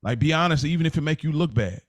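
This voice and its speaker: A man's voice: fairly high pitch, lively intonation, fast talker, no echo. A man hosting a casual podcast conversation, speaking in an informal, slangy way and repeating phrases for emphasis. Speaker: podcast host.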